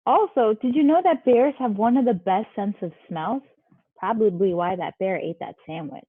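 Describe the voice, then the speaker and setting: A woman's voice: mid-range voice, lively intonation, normal speed, slightly rough, no room sound. A woman leading a children's activity, giving simple instructions and encouragement to young kids. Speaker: children's game leader. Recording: dull, lo-fi, dry audio.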